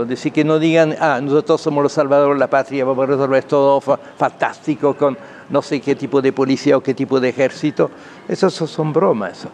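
Man, gravelly creak, interview guest, halting, emphatic, repetitive, faint background noise. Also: a little high, darkish tone. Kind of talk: spoken interview, explanatory opinion.

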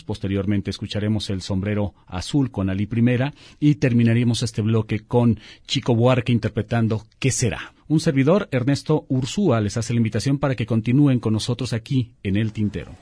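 Guy hosting a radio show, low calm voice, super dry studio sound. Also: no echo. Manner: calm, measured announcing. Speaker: radio host. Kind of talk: radio program presentation.

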